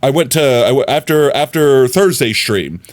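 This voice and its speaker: A man's voice: mid-range voice, animated, normal speed. A young man streaming live, chatting casually to his viewers with a slightly nasal voice, rambling and often giggling.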